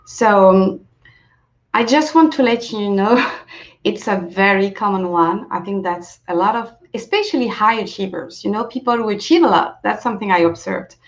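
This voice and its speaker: A woman from France with a French accent, a native French speaker, leading an interactive coaching webinar in a gently encouraging way and thanking participants for sharing. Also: medium pitch, normal speed. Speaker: self-leadership coach. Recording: lo-fi webinar audio.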